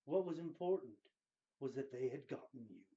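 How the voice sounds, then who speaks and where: A man giving a hammy, grandiose dramatic reading, drawing out his phrases with theatrical pauses. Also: mid-range voice, expressive, mellow, highs cut off.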